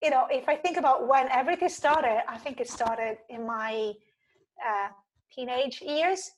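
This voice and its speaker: A woman's voice: high-pitched, moderate pace, slight background noise, poor audio. A woman from Italy with an Italian accent, answering interview questions in a reflective, conversational way with self-deprecating humour. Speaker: guest, leadership coach.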